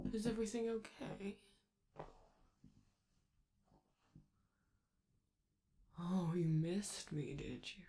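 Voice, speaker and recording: A woman voicing a flamboyant, vain robot star in a scripted ASMR roleplay, theatrical and doting, with teasing endearments and drawn-out words. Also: on the low side, mellow. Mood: coy, reassuring.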